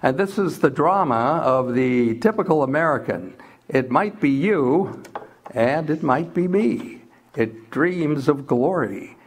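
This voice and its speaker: A man's voice: on the high side, lively intonation, slow pace. A man announcing and narrating in formal, stately 1940s radio style.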